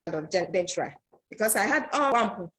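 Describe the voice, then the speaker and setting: Woman guest, mid pitch, formal and polite, video call audio. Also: animated, moderate pace, a bit bright. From Ghana. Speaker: award-winning guest.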